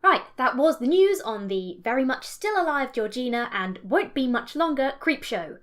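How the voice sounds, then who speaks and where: Woman as a lively, high-voiced show host. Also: normal speed. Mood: exasperated, indignant. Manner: sarcastic, indignant. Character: exasperated, indignant female show host. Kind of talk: audio comedy sketch acting.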